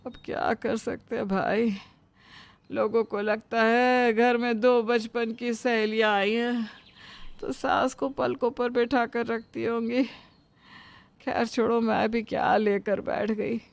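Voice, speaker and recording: A woman's voice: fairly high pitch, fairly even intonation, takes it slowly, vocal fry, no room sound. A woman voicing an ailing, self-pitying mother-in-law in a cartoon story, complaining like a martyr.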